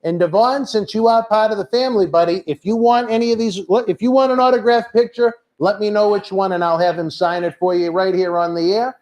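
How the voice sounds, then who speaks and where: A man's voice: high-pitched, steady tone, fairly quick, hardly any pauses, darkish tone, low-quality recording. A man hosting a casual livestream, chatting and reading out viewer questions. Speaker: livestream host.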